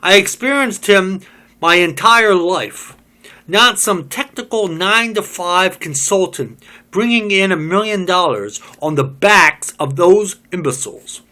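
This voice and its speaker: A man's voice: fairly high pitch, big pitch swings, measured pace, bright, forceful tone, voice pushed up, heavily acted. A man doing a bitter, scornful young man. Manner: scornful, cursing rant. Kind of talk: amateur script reading.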